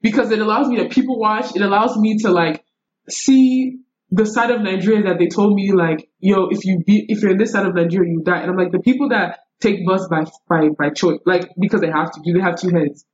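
Young woman, animated slangy delivery, casual storytelling, middle pitch, slightly muffled lo-fi sound. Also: brisk. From United States. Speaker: podcast guest.

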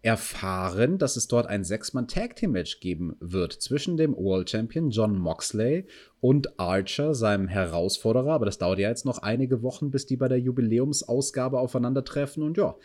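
A man's voice: mid-range voice, animated, normal speed, a little mellow. A man hosting a wrestling review podcast, recapping matches in casual chat and asking his co-host for opinions. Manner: recaps matches in detail, asks co-host questions.

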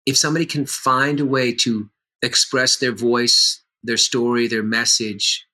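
Man, middle-aged, bright tense voice, animated, earnest, interview chat. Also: mid-range voice. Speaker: actor and course teacher. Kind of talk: conversational interview.

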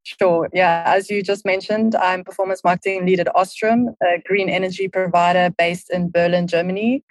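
A woman in her thirties with a South African accent, a podcast guest explaining things in a measured way with frequent ums. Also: fairly low pitch, fairly even intonation, dry recording, poor audio. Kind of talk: podcast interview.

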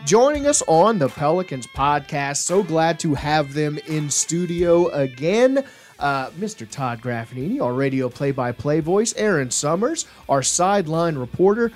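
A man hosting a sports podcast in a studio, talking conversationally and somewhat haltingly with enthusiastic emphasis. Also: a little high, very animated, soft background music. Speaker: podcast host. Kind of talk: lively sports podcast discussion.

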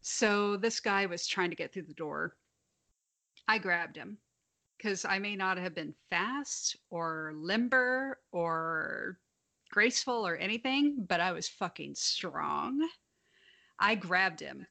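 A woman's voice: lowish voice, animated, slow pace, vocal fry, bright, forceful tone. A young adult woman with a slight lisp, telling stories frankly in a casual podcast chat and swearing freely. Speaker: podcast co-host.

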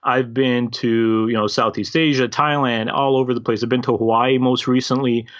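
A man in his thirties from the former Yugoslavia, raised in Canada, talking as a podcast guest in a friendly, relaxed way. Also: medium pitch, normal speed. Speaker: podcast guest, real estate investor. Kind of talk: casual interview. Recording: dull lo-fi call audio.

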